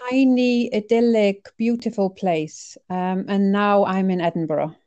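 A middle-aged woman from Iceland shares personal experiences in a relaxed interview. Her tone is dark and mellow, without sharp edges. She speaks conversationally, reflecting as she goes and hesitating over her phrasing. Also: medium pitch, unhurried, muffled recording. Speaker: interview guest.

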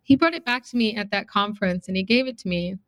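A woman co-hosts a casual podcast from her home, speaking in a brisk, teasing way with dry humor. Her voice sits close to the mic in a dead, dry room. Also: medium pitch, no room sound, rough recording. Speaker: podcast co-host.

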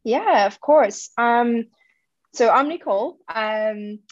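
A woman from the Netherlands, a guest chatting casually in an interview and pausing often on "um". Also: on the high side, lively intonation, dry recording, muffled recording. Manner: thoughtful, with frequent "um" pauses. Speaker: guest, company founder.

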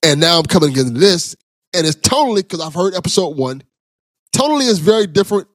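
A man's voice: high voice, sing-song, fast, no room sound, studio quality. A man hosting a podcast, chatting casually and enthusiastically with a guest. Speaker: podcast host. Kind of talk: casual interview chat.

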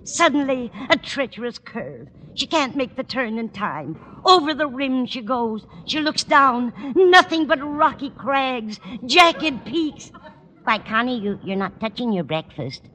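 A woman's voice: high-pitched, very animated, measured pace, pushed and bright, heavily acted. A woman playing an anxious, melodramatic older landlady in a 1940s radio comedy. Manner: fretful, melodramatic. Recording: old radio recording, hall reverb, faint noise.

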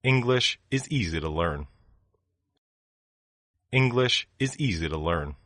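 A man reading short phrases for language learners, saying each one twice in a slow, deliberate way. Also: sits low, animated, fairly bright tone, dry recording, clean studio sound. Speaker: language lesson speaker.

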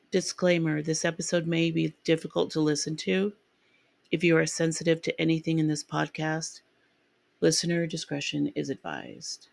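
Woman podcast host, sick and raspy, rambling casual delivery, dry close mic. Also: sits low, normal speed, dry recording. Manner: rambling and chatty, with ums and restarts. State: raspy from a sinus infection. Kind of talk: casual true-crime podcast narration.

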